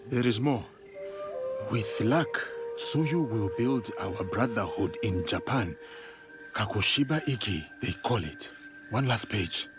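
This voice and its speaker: Slow, thoughtful, earnest companion. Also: male voice, fairly low pitch, animated. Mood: grave. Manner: slow, hesitant, thoughtful reader. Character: calm, earnest male companion translating an old journal. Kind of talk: video game cutscene acting. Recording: music under the voice.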